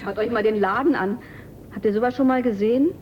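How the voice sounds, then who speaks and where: High-voiced, practical young woman played by an actress. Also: muffled recording, slight background noise, natural voice. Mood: curious, brisk. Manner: brisk, colloquial, matter-of-fact. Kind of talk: German radio drama acting.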